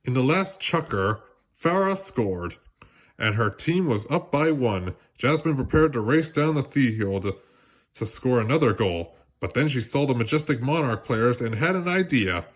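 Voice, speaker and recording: A man narrating a children's storybook aloud in an expressive way, occasionally stumbling on a word. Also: mid-range voice, a little slow. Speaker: storybook reader.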